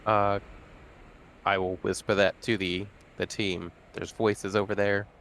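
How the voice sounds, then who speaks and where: A man playing a tabletop game, talking casually and haltingly, restarting his questions as he goes. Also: lowish voice, flat delivery, fairly bright tone, slight background noise.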